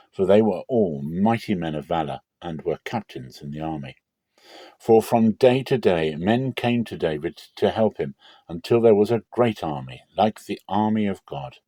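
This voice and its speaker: A man giving a scripted dramatic reading of scripture as narrator, measured, solemn and expressive. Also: low voice, breathy edge, dry recording.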